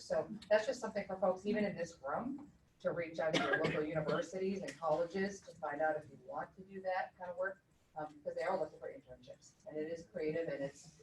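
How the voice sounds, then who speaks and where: A woman with a low voice speaks loudly in a meeting, stopping often on fillers and restarts. She sounds distant from the mic, and the room is echoey with a faint hum behind her. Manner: loud, with many fillers and restarts. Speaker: meeting participant from higher education. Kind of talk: spoken comment in a group discussion.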